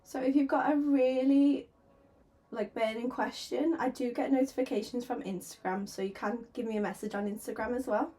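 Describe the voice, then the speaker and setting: A woman's voice: on the high side, fairly even intonation, normal speed, dark tone. Chatty woman with sore throat. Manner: chatty, giggly, a little nervous. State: sore throat, slightly hoarse. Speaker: artist streaming a painting session. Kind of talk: casual livestream chat. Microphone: desk microphone.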